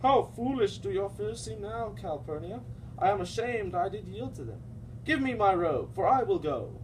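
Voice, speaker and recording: A boastful, stubborn Roman ruler puppet played by a man, declaiming Shakespeare with comic sass and grandeur. The voice sits fairly high and swings widely in pitch. It is picked up from a distance. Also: big pitch swings, moderate pace. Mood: scornful, resolute. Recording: distant microphone, loud background noise.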